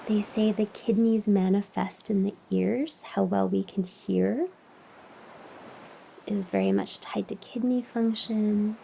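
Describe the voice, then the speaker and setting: Woman, guided meditation, mellow soft tone, gravelly fry, breathy trailing endings, long pauses, faint background noise. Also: medium pitch, animated, rough recording. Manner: soothing, unhurried, with long pauses. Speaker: meditation teacher and podcast host.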